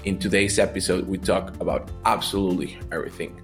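Low-voiced man hosting a podcast. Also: steady tone, fast, faint music behind. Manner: scripted intro, then questions with many restarts. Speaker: podcast host. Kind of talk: podcast intro and interview.

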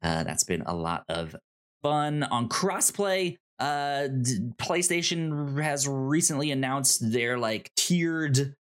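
Animated man hosting a podcast. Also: mid-range voice, slow. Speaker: podcast host. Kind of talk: casual podcast chat and housekeeping plugs.

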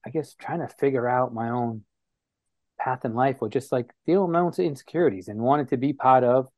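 A man from New Bedford, Massachusetts, a guest on an interview show, recounting his past reflectively with many pauses and fillers. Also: mid-range voice, a little mellow, no echo, dull audio.

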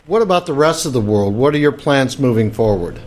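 A man's voice: mid-range voice, lively intonation, a little fast, darkish tone. A man hosting an interview show, asking direct questions in an upbeat way. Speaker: podcast host.

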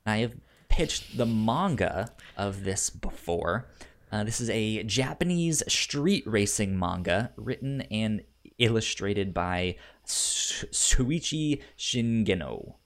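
A man co-hosting a casual review podcast, with a stutter that repeats sounds and a halting, easygoing delivery. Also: on the low side, lively intonation. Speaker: podcast co-host.

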